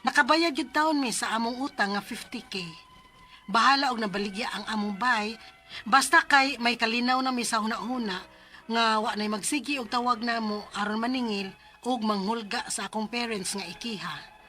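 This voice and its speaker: A woman's voice: fairly high pitch, moderate pace, bright, forceful tone, faint music behind. A woman acting and narrating as an earnest, respectful young daughter in a Cebuano Filipino radio drama. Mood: earnest narration. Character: earnest, respectful young daughter telling her family's problem.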